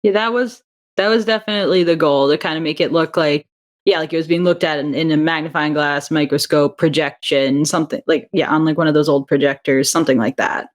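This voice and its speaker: A woman's voice: low-pitched, steady tone, fairly quick. A woman guest on a podcast, an audio drama creator, talking chattily with many fillers. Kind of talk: casual interview chat.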